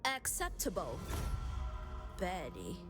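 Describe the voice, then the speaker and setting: A woman's voice: lowish voice, huge pitch swings, slow pace, fairly bright tone, soft background music, exaggerated voice. A woman voicing a haughty, imperious fantasy ruler in a video game. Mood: disdainful.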